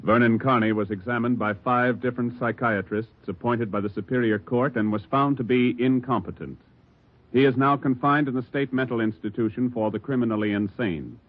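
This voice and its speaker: A man's voice: medium pitch, brisk, a little hiss or hum. An American man announcing formally from a script in the style of 1940s radio. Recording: tinny 1940s radio recording, loud brass music.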